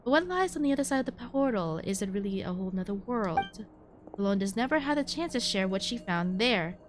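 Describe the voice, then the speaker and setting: A woman's voice: medium pitch, animated, brisk, background noise. A woman streaming a game, casually commenting and reading story narration aloud.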